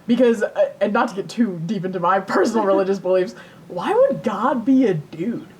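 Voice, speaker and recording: A young woman co-hosting a podcast, telling stories casually in a giggly, animated way with easy swearing. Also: normal speed. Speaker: podcast co-host. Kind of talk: casual chat, storytelling. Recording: rumbly background noise.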